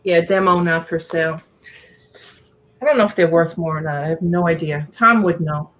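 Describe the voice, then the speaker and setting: A woman's voice: fairly low pitch, normal speed, a bit bright, rough recording. Casual New York woman hosting a live record auction from home, rambling and friendly in tone. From Long Island, New York. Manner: chatty, thinking aloud while reading album titles. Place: small home room full of shelves.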